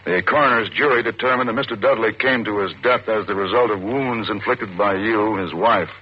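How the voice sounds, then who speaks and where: Stern american district attorney, clipped and formal, deepish voice, muffled old radio drama. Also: male voice, a little fast, slightly rough. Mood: stern, pressing. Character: stern, forceful deputy district attorney, relentless interrogator. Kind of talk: old-time American radio suspense acting.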